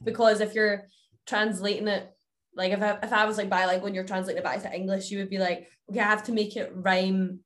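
A woman's voice: mid-range voice, little pitch movement, fairly quick, fairly bright tone. Young woman host, casual and sweary, lots of likes and ums. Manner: casual, enthusiastic, swears freely, lots of fillers. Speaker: interviewer. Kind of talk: casual chat.

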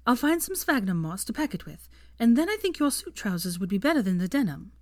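A woman narrator puts on an exasperated, caring young woman in a story reading. The voice is pitched up and emphatic. She is brisk and insistent, used to giving orders. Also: very animated, darkish tone, no echo. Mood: brisk, anxious.

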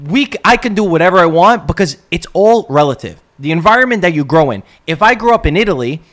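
A man's voice: high-pitched, lively intonation, fast talker, a bit bright. A man co-hosting a casual chat, talkative and argumentative, laughing easily. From United States. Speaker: co-host.

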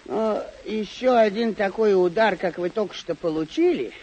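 A man acts a simple, cautious squire in an old radio drama. His voice is high for a man, deferential and bumbling, on a faintly noisy old recording. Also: moderate pace, a little mellow, close to an everyday voice. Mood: cautious, doubtful. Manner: plain-spoken, deferential, bumbling.

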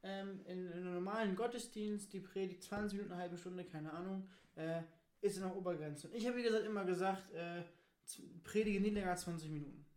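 A woman's voice: lowish voice, steady tone, brisk, darkish tone, roomy sound. Blunt, emphatic woman in casual podcast chat. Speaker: podcast co-host.

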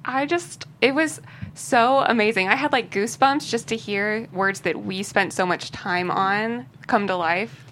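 A woman's voice: mid-range voice, unhurried, fairly bright tone. A young woman speaking casually as a guest writer in an interview. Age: young adult. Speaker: guest screenwriter. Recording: faint background room noise.

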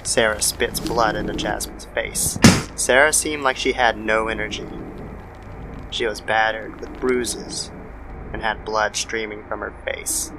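A man's voice: fairly low pitch, fairly even intonation, measured pace. A man narrating a scripted horror story as an old-time style radio drama. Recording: noisy background ambience.

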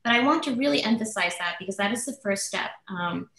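A woman's voice: medium pitch, lively intonation, a little fast, a bit bright, echoey room. Earnest woman speaking on a webinar. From United States. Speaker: assistant professor speaking as webinar panelist. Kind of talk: prepared remarks on a webinar. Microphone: video call.

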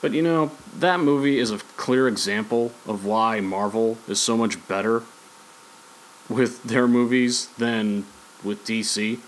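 A man's voice: lowish voice, unhurried, a little hiss or hum, low-quality recording. A man hosting a podcast, talking casually in a rambling, opinionated, conversational way. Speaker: podcast host. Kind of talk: casual opinionated podcast talk on sports and movies.

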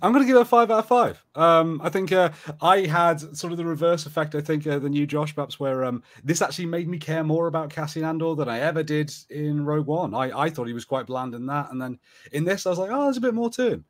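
A man's voice: on the high side, fast talker. A man co-hosting a podcast, talking in an informal, jokey panel-chat way. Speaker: podcast co-host.

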